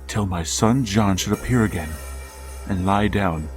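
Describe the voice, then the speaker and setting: An actor playing an aging father in a wheelchair, narrating a radio horror drama in a slow, halting, hushed manner. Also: on the low side, lightly acted. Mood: haunted. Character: older man father in a wheelchair, haunted, resigned narrator. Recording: music bed under the voice.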